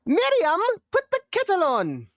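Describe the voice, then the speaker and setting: A woman's voice: very high voice, wildly swooping pitch, a little slow, dry recording, clean studio sound, full character voice. Woman playing theatrical old lady, swooping and bossy. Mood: brisk, hospitable. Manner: theatrical, scolding. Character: dotty, bossy elderly former actress, fussing over neighbour. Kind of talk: screenplay table read.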